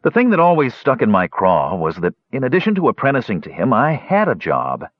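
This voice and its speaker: A man's voice: mid-range voice, huge pitch swings, brisk, dry recording, highs cut off, pitched above the performer's own voice. A man voicing a stubborn young dwarf nephew in a fantasy audiobook, sullen, blunt and defensive. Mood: regretful. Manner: belligerent, blunt, informal.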